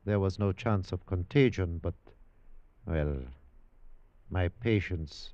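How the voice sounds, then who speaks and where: Calm, courteous village elder: low, measured, formal, on a dull vintage radio recording. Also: male voice, no echo. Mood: matter-of-fact. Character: calm, grave, courteous Japanese village head man. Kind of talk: 1940s radio acting.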